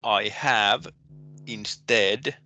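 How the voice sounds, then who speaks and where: A man with a Finnish accent giving a recorded programming lecture, pausing often with ums and restarting his sentences. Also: lowish voice, sharp tone. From Finland. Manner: hesitant, many ums and restarts. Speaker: university lecturer.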